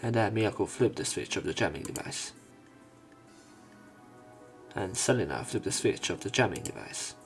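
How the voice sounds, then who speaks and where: Low, slightly creaky male let's play narrator. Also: big pitch swings, normal speed, long pauses. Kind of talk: reading a visual novel aloud with commentary. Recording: faint music behind. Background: soft game music.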